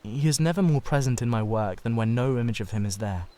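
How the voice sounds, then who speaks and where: He is an earnest, idolising artist, acted out by a man in a dramatised classic novel. His mid-range voice is fervent and confessional, halting as he searches for words. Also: medium pitch, expressive, mellow, lightly acted. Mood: guarded, troubled. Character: earnest, intense, idolising male painter. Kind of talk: dramatised literary audiobook reading.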